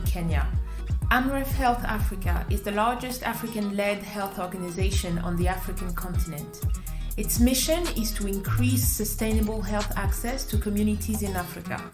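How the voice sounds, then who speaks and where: A woman hosting a podcast, giving a polished read-out introduction and then asking her guest questions in a clear, articulate way. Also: mid-range voice, reverberant. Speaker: podcast host. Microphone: remote recording.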